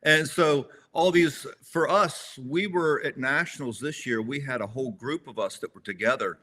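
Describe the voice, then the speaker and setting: A man's voice: mid-range voice, moderate pace, sharp tone. A man in his sixties talking as an interview guest, rambling a little with restarts and self-corrections. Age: 60s. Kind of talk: casual conversational interview.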